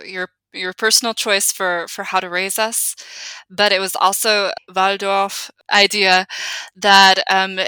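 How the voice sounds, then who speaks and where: Bright, earnest young woman guest. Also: mid-range voice, clear, resonant tone, dry recording. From United States. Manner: reflective and earnest, frequent restarts. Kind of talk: conversational interview.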